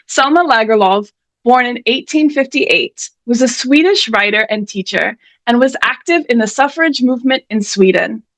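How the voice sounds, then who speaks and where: A woman with a high voice hosts an online author event. She speaks in a chatty, playful manner with a touch of mock drama. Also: expressive, normal speed, a bit bright. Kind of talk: chatty, scripted event hosting. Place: indoors, on a video call.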